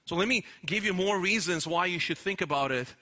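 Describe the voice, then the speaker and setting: A man's voice: sits high, fairly quick, pushed and bright. A man preaching a sermon in an earnest, emphatic way, often restarting his words. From Romania. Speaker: pastor.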